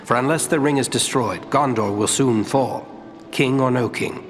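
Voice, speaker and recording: Fantasy game heir-to-the-throne ranger, male actor: sincere, dignified, measured, long reverb, faint music underneath. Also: mid-range voice, close to an everyday voice. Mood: earnest. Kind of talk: fantasy game dialogue acting.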